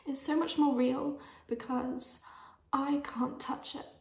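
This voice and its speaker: A fairly high-voiced young woman in love , played by an actress, speaks in a dramatic monologue. She is earnest and aching, hesitating and sinking toward a whisper. The room echoes around her. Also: fairly even intonation, slow pace, full character voice. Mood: aching, intense. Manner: hesitant, breathy drops into near-whisper. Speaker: actor in a monologue showreel. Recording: roomy, echoing room sound.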